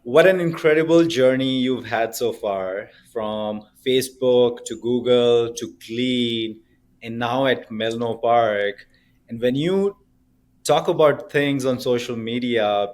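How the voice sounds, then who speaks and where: A man hosting a podcast, asking questions in a deliberate, unhurried way with some fillers. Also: medium pitch. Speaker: podcast host. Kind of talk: interview hosting.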